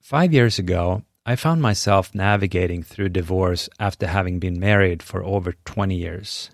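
A middle-aged man with a Swedish accent and a low, deep voice delivers a scripted podcast monologue. He talks in an earnest, measured, encouraging way, close and dry with no room sound. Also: no echo. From Sweden. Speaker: podcast host.

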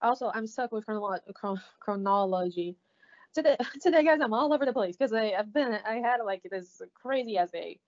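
A woman with a Brazilian Portuguese accent, co-hosting a podcast in a halting, casual way, with many fillers and restarts. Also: on the high side, lively intonation. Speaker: podcast co-host. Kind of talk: casual recap chat. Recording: slightly dull lo-fi audio.

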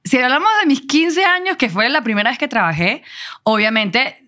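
A Venezuelan woman in her forties, a guest telling her story in a casual interview, animated and self-assured, laughing often. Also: high voice, normal speed. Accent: Venezuelan Spanish.

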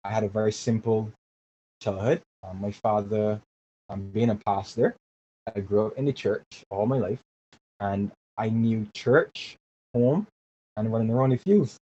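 A man's voice: fairly low pitch, a little slow, warm tone. A young man answers interview questions in an earnest, searching way, often doubling back on his words. His voice comes through a dull, lo-fi remote connection with a faint hiss behind it. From Barbados. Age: 20s. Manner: earnest, repeats words while searching for phrasing. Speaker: guest. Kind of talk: casual interview talk.

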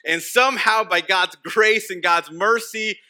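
A man's voice: high voice, unhurried, bright, forceful tone. A man from Texas whose first language is Spanish, preaching an informal, conversational sermon and repeating key phrases for emphasis. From Texas, United States. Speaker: pastor preaching.

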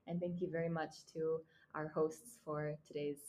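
A soft-spoken young woman talks earnestly as a guest on a podcast. Her voice is fairly low and mellow, with faint noise behind it. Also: little pitch movement, moderate pace. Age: young adult. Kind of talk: casual podcast chat.

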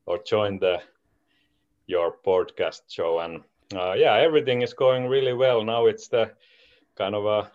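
A man's voice: fairly low pitch, lo-fi sound. A man in his forties from Finland with a Finnish accent, a hockey coach answering podcast questions in English and searching for his words. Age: 40s. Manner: searching for words in a second language, restarting phrases. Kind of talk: podcast interview.

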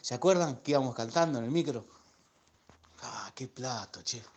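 A nostalgic middle-aged Argentine man played by an actor in a radio comedy. He rambles and repeats himself in a medium-pitched voice. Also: moderate pace, a little mellow, close to an everyday voice. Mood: nostalgic, amused. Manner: rambling, repetitive. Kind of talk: comic radio play voice message.

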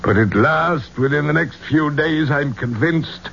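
An actor playing an obsessed, superstitious gentleman archaeologist in a 1970s radio drama, with a grandiloquent, courtly way of speaking. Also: fairly high pitch, unhurried, creaky. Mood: earnest. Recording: dull, noisy 1970s radio recording.

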